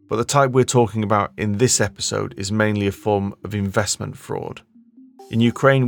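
Gravelly low man narrating a documentary.

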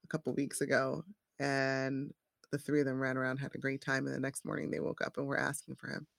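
A woman in her forties, a parent who works in scrubs, chatting casually in halting speech with many restarts. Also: extremely low pitch, flat delivery, vocal fry, no room sound. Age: 40s. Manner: halting, restarts often, says "like" and "you know". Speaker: healthcare worker and parent. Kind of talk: casual chat.

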